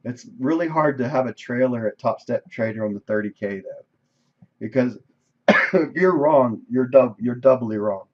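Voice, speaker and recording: A man reviewing his day's trades on a live stream, casual and thinking aloud, with a voice that is going hoarse. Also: mid-range voice, normal speed, lo-fi sound. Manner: conversational, thinking aloud, frequent "right?". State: losing his voice, coughing. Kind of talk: casual screen-share trade recap. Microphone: desk microphone.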